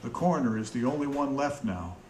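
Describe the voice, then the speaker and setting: An actor playing a bitter murdered man narrating as a corpse in a radio drama, wry, brooding and deliberate. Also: mid-range voice, expressive, a little mellow, slight background noise, close to an everyday voice. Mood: wry, resigned. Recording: echoey, music underneath.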